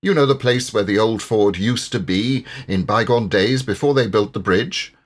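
A man's voice: on the low side, normal speed, natural voice. A man voicing a kindly water rat for an audiobook, a grave and earnest friend full of concern. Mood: anxious, sympathetic. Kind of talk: audiobook character voice.

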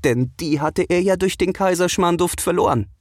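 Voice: medium pitch, normal speed, a little mellow, no echo, studio quality. A narrator reading a scripted children's audio adventure as a storyteller.

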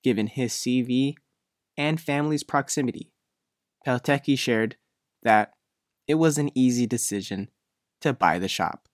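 A man's voice: mid-range voice, a little slow, long pauses, no room sound, studio quality. A man working as a reporter, reading a scripted news feature narration.

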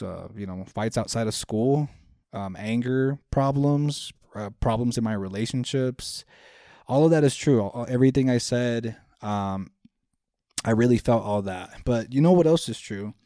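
A man's voice: mid-range voice, mellow, no echo, clean studio sound. A man in his thirties hosting a self-help podcast, speaking earnestly and directly to his listeners, often repeating his points for emphasis. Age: 30s. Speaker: podcast host. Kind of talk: motivational self-help talk.

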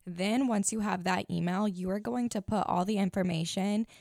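A young woman in her twenties with a raspy morning voice, giving blunt, chatty advice as a podcast host. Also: mid-range voice, moderate pace, a little mellow, no echo, studio quality. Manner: blunt, chatty, many fillers. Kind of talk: casual advice chat.

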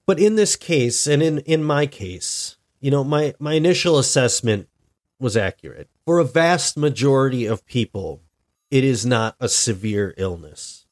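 A man talks alone into his podcast mic, a little stuffy and run down from being sick. He speaks at a middle pitch and thinks aloud in a measured, deliberate way, often doubling back on his words. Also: animated. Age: adult, married. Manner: deliberate, reflective, with frequent restarts. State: stuffy, sick with a cold-like illness. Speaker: podcast host. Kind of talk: solo podcast monologue.

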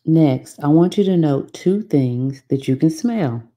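A woman guides a meditation in a very deep voice for a woman. Her tone is extremely soft-edged and dark, and she speaks slowly. She gives soothing, measured instructions and leaves long pauses between phrases. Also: lively intonation. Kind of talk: guided meditation, scripted narration.

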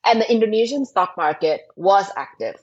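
A woman from Thailand in her thirties, a startup co-founder who explains things in an animated, emphatic way on an interview podcast. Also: medium pitch, normal speed, husky, dull audio. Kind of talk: interview answers.

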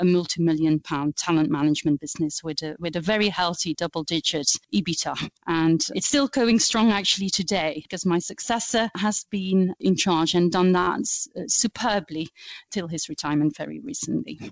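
A woman's voice: on the low side, dry recording, poor audio. Belgian-accented woman guest, dry sound. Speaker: podcast guest, HR consultant. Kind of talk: conversational interview.

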